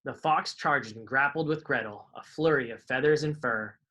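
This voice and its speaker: A man's voice: mid-range voice, moderate pace, sharp tone. A man reading children's picture books aloud in a live storytime, with informal asides to his young listeners.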